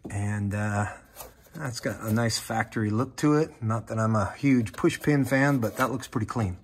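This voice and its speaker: Low-voiced man giving a casual how-to. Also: normal speed, a little hiss or hum. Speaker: how-to video presenter. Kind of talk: casual off-the-cuff installation walkthrough.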